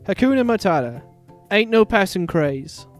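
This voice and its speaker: A man voicing a good-natured, sensitive warthog with a slow, earnest delivery in a story reading. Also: high-pitched, animated, soft background music, voice pushed up. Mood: cheerful. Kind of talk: dramatic fan fiction reading.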